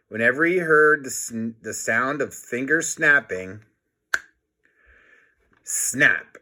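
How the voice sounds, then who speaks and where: A man's voice: mid-range voice, sharp tone. A man reading a children's book aloud in a playful, dramatic manner, adding his own comments. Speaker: storybook reader.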